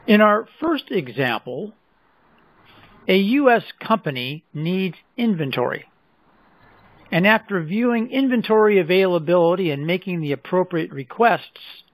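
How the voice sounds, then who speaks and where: A man with a slightly high voice presents a software demo in a measured, explanatory way. The sound is fairly dry and close, and the delivery follows a script. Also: no echo, rough recording. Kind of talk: scripted product demo narration.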